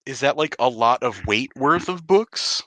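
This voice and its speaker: A man's voice: medium pitch, lively intonation, measured pace, bright, forceful tone, no echo. A man playing a tabletop game, bantering casually with a sardonic manner.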